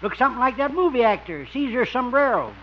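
A man's voice: very high-pitched, animated, quick pace. An actor playing a nosy old male farmer who gossips in a drawling rustic way, in a 1950s radio comedy. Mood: teasing. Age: older. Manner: drawling rustic talk, calls people sonny. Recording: dull old radio recording with hiss.

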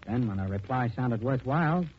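A dull, faintly noisy 1940s radio recording features an actor as an earnest young working man. He speaks plainly and respectfully at a medium pitch. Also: lively intonation, normal speed, natural voice. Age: young adult. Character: earnest, loyal young working-class chauffeur. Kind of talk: 1940s radio drama acting.

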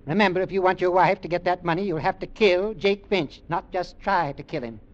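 Man acting a stern scheming uncle, fairly high male voice, curt, commanding, old radio drama, muffled recording. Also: a little fast, natural voice. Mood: stern, pressing. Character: cold, scheming, domineering uncle pushing murder.

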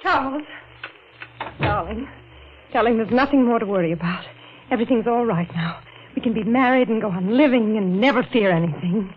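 An actress playing a devoted, reassuring sweetheart, tender and earnest, in 1940s radio drama style. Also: female voice, a little high, moderate pace, long pauses, lightly acted. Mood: tender relief. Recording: muffled, faintly noisy old radio recording.

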